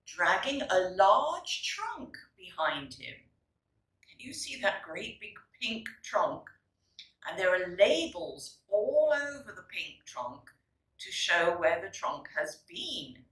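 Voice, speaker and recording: A woman reads to young children in a bright, animated voice at an unhurried pace. She is expressive and instructive, like a teacher at storytime. She sounds set back from the mic in a roomy space. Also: fairly low pitch. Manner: deliberate, instructive, addresses young listeners. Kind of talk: expressive storytime read-aloud with explanations. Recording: roomy echo, distant microphone.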